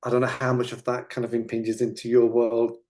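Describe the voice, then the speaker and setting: A man with a mid-pitched, mellow voice hosts a conversational interview. He asks his questions tentatively, feeling his way through them and adding further parts as he goes. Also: monotone, brisk. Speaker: webinar host, interviewer.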